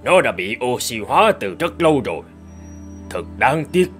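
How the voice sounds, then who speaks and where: A man playing a careful archaeologist leading a dig in an animated fairy tale, with an instructive manner. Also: mid-range voice, moderate pace, soft background music. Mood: regretful.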